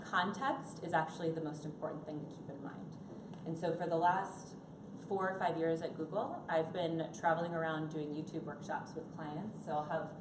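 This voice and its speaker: A woman in her thirties giving a chatty, upbeat workshop talk to a live audience without a microphone. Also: low-pitched. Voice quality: loud, projecting voice. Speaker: conference presenter. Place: conference room with an audience. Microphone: no personal mic, recorded from a distance. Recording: echoey room from far off, noisy.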